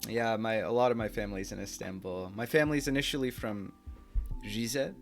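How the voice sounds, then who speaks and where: A man's voice: lowish voice, fairly quick, faint music behind. Laid-back young man streaming. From United States. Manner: laid-back, chatty, laughs often, switches into Turkish. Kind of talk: casual chat with chat readers.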